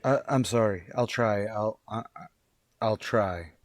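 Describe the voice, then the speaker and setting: A man playing an anxious, apologetic condemned prisoner in a radio drama, halting and stammering meekly. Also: lowish voice. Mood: anxious, straining.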